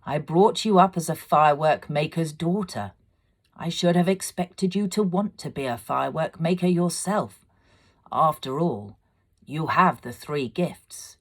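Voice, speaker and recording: A gentle old storybook father, voiced by a woman, low in pitch. He speaks tenderly and earnestly, with measured care. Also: breathy edge, full character voice. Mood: apologetic. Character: gentle, loving old father, a master firework maker.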